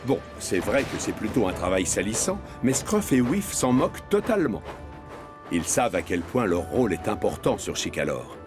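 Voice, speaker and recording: A man's voice: on the low side, big pitch swings, normal speed, over music. A man narrating a children's cartoon in a scripted storytelling style.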